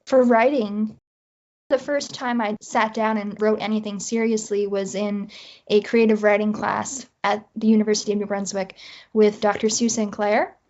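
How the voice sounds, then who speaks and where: A woman's voice: medium pitch, flat delivery, normal speed, a little mellow. A woman with a Canadian accent chatting casually as a guest writer in an interview. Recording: dull lo-fi audio.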